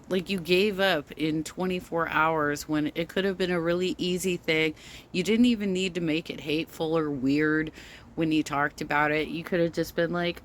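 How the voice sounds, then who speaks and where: Slow-spoken woman with a low, bassy voice. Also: a bit bright, a little hiss or hum. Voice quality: bass to her voice. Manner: slow-spoken, rambling with many ums. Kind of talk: casual rant.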